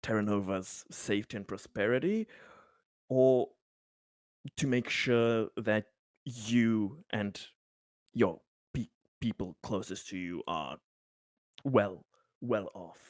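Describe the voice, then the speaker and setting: A man playing an earnest, conciliatory company man in a tabletop game, pleading his good intentions in halting, repeated phrases. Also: fairly low pitch, dry recording, clean studio sound.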